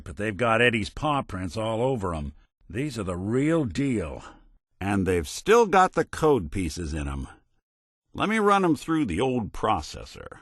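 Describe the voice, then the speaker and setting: A world-weary android private eye with a low American voice that rises and falls with lively swings. He is gruff but decent, dryly sardonic, and speaks in old-time hard-boiled gumshoe style for a video game, recorded close and dry. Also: no echo, heavily acted. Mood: dry, determined. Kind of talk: noir hard-boiled detective game dialogue.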